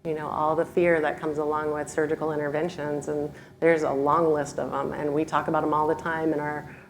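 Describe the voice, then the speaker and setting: A woman speaking on a panel as a parent, brisk and down-to-earth. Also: deep voice, level tone, slight background noise, rough recording. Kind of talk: panel discussion answer.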